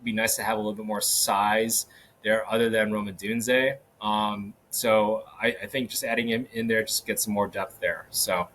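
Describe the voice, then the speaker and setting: A man hosting a solo sports podcast, talking casually with many fillers and restarts, his voice a little hoarse. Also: lowish voice, little pitch movement, normal speed, a bit bright, slight background noise. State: slightly hoarse. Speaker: podcast host. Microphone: podcast microphone.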